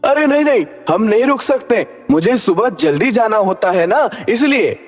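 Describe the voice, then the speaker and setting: A man's voice: high voice, animated, normal speed. A man voicing a polite, cautious male cartoon ghost who poses as a family man. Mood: flustered refusal. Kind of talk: animated Hindi story dialogue. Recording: long hall reverb, music underneath.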